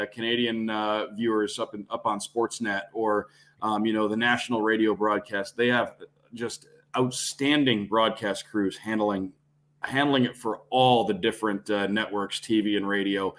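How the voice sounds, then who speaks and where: A man's voice: lowish voice, fairly even intonation, moderate pace. A man who works as a sports radio broadcaster, explaining details fluently in a conversational podcast guest style.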